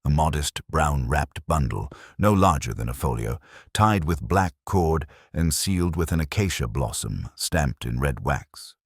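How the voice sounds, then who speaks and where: Male narrator, extremely low register, wide melodic swings, solemn and measured storytelling. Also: lively intonation, breathy edge, no room sound, clean studio sound. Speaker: audiobook narrator. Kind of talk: scripted dramatic story narration.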